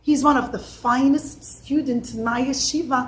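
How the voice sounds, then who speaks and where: A woman voicing a wise elderly rabbi who speaks in a calm, reassuring way. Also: sits high, moderate pace, echoey room, lightly acted.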